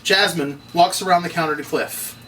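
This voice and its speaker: A man's voice: fairly high pitch, normal speed, sharp tone. A man narrating stage directions as he reads from a script at a table read. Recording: distant mic, noisy room.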